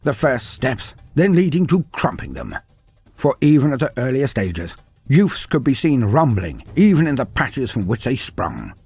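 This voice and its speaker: A man's voice: mid-range voice, wildly swooping pitch, measured pace, a little mellow, breathy edge. A man narrating a humorous story in a dry, wry manner, breaking off into playful sidebars. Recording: faint music behind narration.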